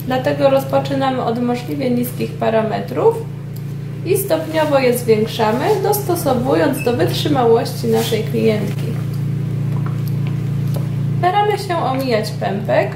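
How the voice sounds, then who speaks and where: A woman's voice: high-pitched, unhurried, creaky. A woman instructor narrating a hands-on treatment demonstration step by step. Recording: distant, echoey room with loud steady noise.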